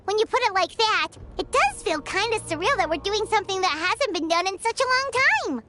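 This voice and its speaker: Squeaky, childlike little fairy companion. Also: female voice, brisk. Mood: puzzled, amazed. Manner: chatty, expressive, inquisitive. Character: tiny, perky, childlike female fairy companion. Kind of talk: video game voice acting. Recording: background music under dialogue.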